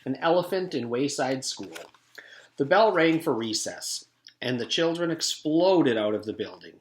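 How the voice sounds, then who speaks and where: A man's voice: mid-range voice, animated, measured pace, a little hiss or hum. A man, a teacher, reading a children's story aloud as scripted narration. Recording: echoey room.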